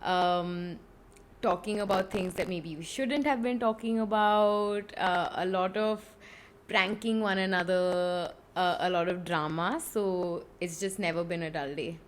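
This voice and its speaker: A woman who grew up in Bombay, with an Indian English accent, telling lively stories in a casual podcast chat and speeding up in bursts. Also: fairly low pitch, unhurried. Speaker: podcast co-host.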